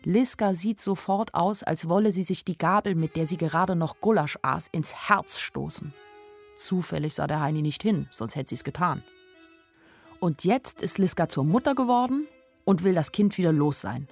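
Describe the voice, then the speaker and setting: A woman's voice: on the low side, moderate pace, gravelly. An actress playing a naive, observant young village woman who narrates her story in a radio play in a plain-spoken, wry way. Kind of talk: first-person radio play narration in a literary adaptation. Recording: studio radio play with music underneath. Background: music.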